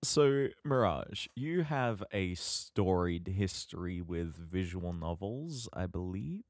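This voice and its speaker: Low-voiced, slow-talking man on a podcast. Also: animated, dry recording, studio quality. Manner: halting, wordy, measured. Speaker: podcast co-host. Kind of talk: casual podcast chat.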